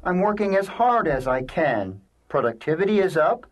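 A man's voice: fairly high pitch, very animated, moderate pace. A man playing a put-upon, resigned middle-manager husband in an audio drama, weary and defensive.

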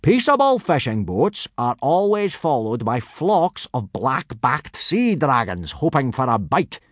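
A man's voice: mid-range voice, big pitch swings, unhurried, dry recording, clean studio sound, pitched above the performer's own voice. A man voicing a pompous, self-satisfied Viking warrior instructor in an audiobook, bellowing boastful commands. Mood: smug, commanding.

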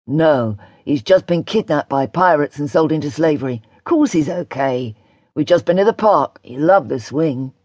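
Woman doing a sardonic British teenage boy, husky. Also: deep voice, animated, normal speed, darkish tone, no room sound, studio quality. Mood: sarcastic. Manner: offhand, sardonic, slangy. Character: sarcastic, slangy, indignant British teenage boy.

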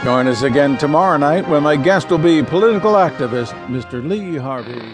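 An actor playing a polished, skeptical television interviewer in a radio drama, with a smooth, formal broadcast delivery. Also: male voice, fairly high pitch, animated, brisk, over music, natural voice. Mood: polished, probing. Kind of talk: radio drama acting of a talk show.

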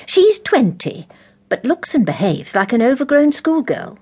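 An actress playing a forthright, eccentric English vicar's wife in an audio drama, emphatic, blunt and matter-of-fact. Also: female voice, on the high side, very animated, measured pace, darkish tone, husky, full character voice. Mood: brisk, disapproving.

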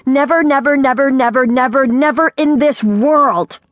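A woman voicing a furious, indignant teenage girl in an audiobook, yelling in outrage. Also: high-pitched, normal speed, dry recording, pitched above the performer's own voice, lightly acted. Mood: outraged yelling. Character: furious, dramatic teenage girl confronting her father.

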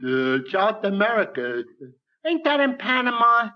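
An actor playing a dim-witted male barroom regular in a 1940s radio comedy, with a slow, dopey delivery. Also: high voice, sing-song. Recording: dull, noisy old radio recording.

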